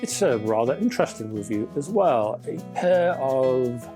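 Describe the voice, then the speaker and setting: A man at a middling pitch presents hi-fi news, working through specs and prices in a steady scripted read. He drops in dry, wry jokes and calls his viewers folks. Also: a little slow, soft background music. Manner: dry, wry humor between spec readouts; chatty, addresses viewers as folks. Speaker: hi-fi news channel host. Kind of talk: scripted news roundup with asides.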